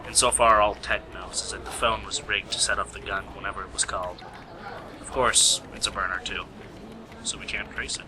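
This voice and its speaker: A man's voice: lowish voice, steady tone, fairly quick, close to an everyday voice. Matter-of-fact male police sidekick acted by a man, noisy recording. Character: male police detective, dutiful sidekick reporting to lead. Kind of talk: amateur radio play acting. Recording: noisy amateur home recording.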